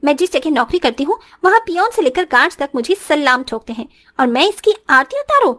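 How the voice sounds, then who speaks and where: A woman's voice: high voice, big pitch swings, brisk, fairly bright tone. A woman voicing a haughty, spoiled young working daughter-in-law in a moral story cartoon, scornful and dropping English phrases into her Hindi.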